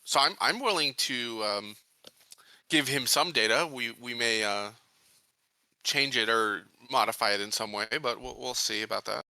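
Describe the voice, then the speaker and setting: Very bright-voiced man, hesitant game player. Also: mid-range voice, no room sound. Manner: hesitant, deliberate, frequent pauses. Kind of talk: casual in-character negotiation.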